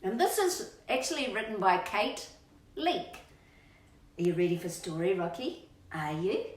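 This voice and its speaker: Australian woman, animated intonation, playful children's storytime chat, coaxing sing-song questions, echoey home room. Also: mid-range voice, unhurried. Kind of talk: chatty children's storytime, talking to kids and her dog. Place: indoors at home, on a couch. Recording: roomy echo, distant microphone.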